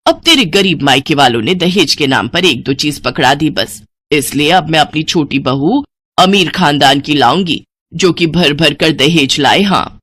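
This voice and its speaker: A woman's voice: low-pitched, expressive, unhurried, fairly bright tone, breathy edge, no room sound, pitched below the performer's own voice, heavily acted. A woman narrator puts on a middle-aged mother-in-law in a storybook-style family drama. She is domineering and scornful, with a taunting, disapproving delivery in a close, dry recording.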